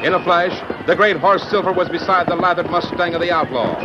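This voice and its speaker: A man's voice: high-pitched, fairly quick, natural voice. A man narrating an old-time radio western adventure in an emphatic, dramatic storytelling style. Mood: dramatic, urgent. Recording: muffled old radio recording, music behind.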